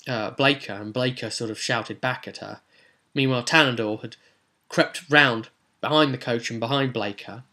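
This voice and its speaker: Brief: game master recapping his session, bright, rambling, lots of ums. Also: medium pitch. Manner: rambling, frequent ums and restarts.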